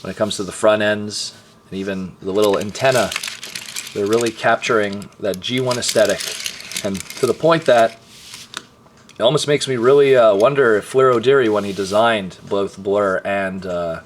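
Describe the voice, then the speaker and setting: A hoarse, tired man hosting a casual toy review, chatting along and often clearing his throat. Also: fairly low pitch, normal speed, noisy background. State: hoarse, voice partly lost. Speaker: toy reviewer and channel host.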